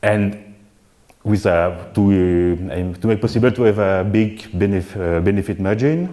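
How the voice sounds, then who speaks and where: A man, giving a live keynote talk at a synth meetup in a relaxed, self-deprecating way with frequent restarts. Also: low voice, measured pace. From Belgium. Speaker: keynote speaker. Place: event venue before an audience.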